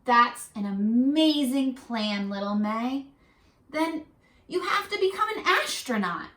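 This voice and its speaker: A teacher voicing an encouraging mother in a storybook, with a bright, emphatic manner. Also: on the high side, expressive, slow. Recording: echoey room, distant mic.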